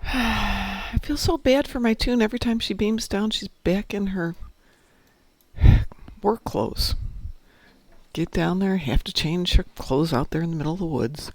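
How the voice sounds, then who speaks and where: Hoarse man chatting while gaming. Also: high voice, measured pace, pauses often, no room sound. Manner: chatty, talks to teammates and chat while playing. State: losing his voice. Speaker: game streamer. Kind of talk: casual gameplay commentary.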